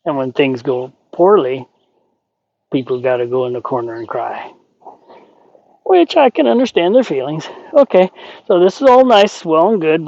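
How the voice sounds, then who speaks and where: A man's voice: fairly high pitch, a little mellow, highs cut off. A man explaining horse training as he works with a young horse, in a folksy, rambling, plain-spoken way. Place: outdoors at a horse pen.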